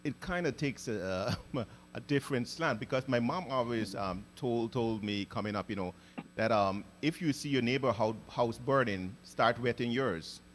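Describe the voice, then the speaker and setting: A man from Guyana hosting an interview, speaking thoughtfully and often pausing to search for words. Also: mid-range voice, a little hiss or hum. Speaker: podcast host. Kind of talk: casual interview conversation.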